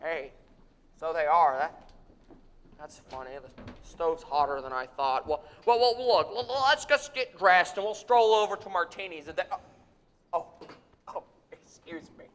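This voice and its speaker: A flustered, despairing young man in a stage radio drama. He stammers and trips over his words, his voice set high and nervous. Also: lively intonation, normal speed, pauses often, a bit bright, in a large hall. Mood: joking. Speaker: actor in a live radio play. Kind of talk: 1940s radio acting on stage. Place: theater stage with audience.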